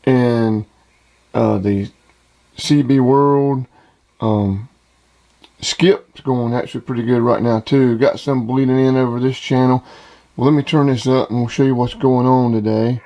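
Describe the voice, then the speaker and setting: A man's voice: medium pitch, steady tone, a little slow, warm tone. A man casually showing off radio gear, plain-spoken and enthusiastic, like a hobbyist hosting his own video. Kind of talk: casual product demonstration.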